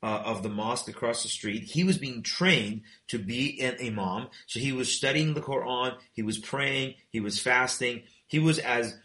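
A man's voice: mid-range voice, normal speed, hardly any pauses, pushed and bright, reverberant. A man hosting a talk show, interviewing his guest and recapping the story for listeners with plenty of fillers and restarts. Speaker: host.

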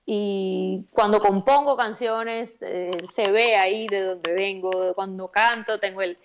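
A Cuban woman with a Cuban Spanish accent talks through her musical life in a relaxed, reflective way. She often restarts and repeats words as she thinks, and she laughs easily. Her voice sits at a middle pitch for a woman. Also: slow, music in the background. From Cuba. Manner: thoughtful, repeats words while searching for them, laughs easily. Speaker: singer-songwriter guest. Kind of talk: relaxed interview chat.

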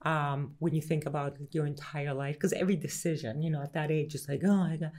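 A woman with a low speaking voice, a guest on a casual talk show, reflecting on her career. She grew up in Greece and speaks with Greek as her first language. She tells her story with plenty of fillers and restarts. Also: fairly even intonation, fast, darkish tone. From raised in Greece until age eleven, then the United States. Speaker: guest, regional vice president at a real estate firm. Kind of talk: casual podcast conversation.

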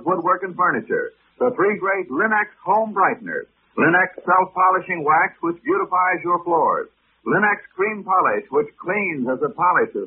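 An American man announcing in a polished, emphatic 1940s radio advertising style. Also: on the high side. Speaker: commercial announcer. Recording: scratchy, tinny 1940s radio recording.

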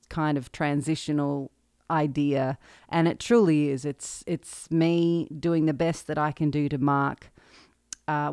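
Candid Australian woman, gravelly edge. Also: low-pitched, no room sound, clean studio sound. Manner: candid, reflective, with soft laughs. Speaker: podcast host and singer-songwriter. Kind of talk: personal monologue.

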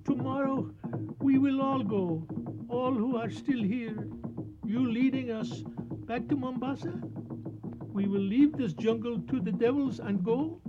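A man's voice: extremely high pitch, unhurried. An actor playing an anxious, devout servant pleading deferentially with his master in broken English in a radio drama. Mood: fearful pleading. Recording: muffled radio recording with loud music.

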